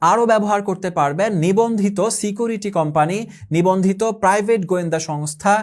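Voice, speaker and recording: A man from Bangladesh delivering a scripted sales pitch, briskly listing product features, prices and uses. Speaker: product promoter. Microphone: handheld phone shown on camera.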